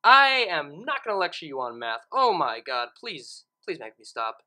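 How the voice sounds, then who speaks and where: A woman reading fan fiction, with expressive narration and casual commentary in a theatrical, emphatic manner. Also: deep voice, normal speed.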